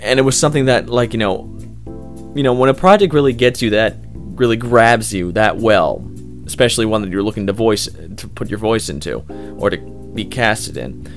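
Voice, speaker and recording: A young man, a hobby voice actor, answering interview questions in a loose, rambling way, full of fillers and restarts. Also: medium pitch.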